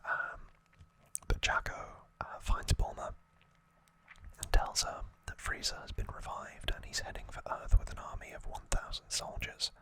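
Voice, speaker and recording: ASMR host, fully whispered, breathy and bright, explaining things in a hushed conversational way. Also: moderate pace, rough recording. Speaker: ASMR host recapping an anime series.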